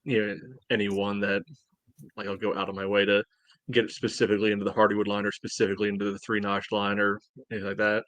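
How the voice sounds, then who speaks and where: A man's voice: on the low side, monotone, fast, a bit bright, dry recording. A man co-hosting a soccer podcast in casual banter, with an opinionated, teasing way of talking. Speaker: podcast co-host.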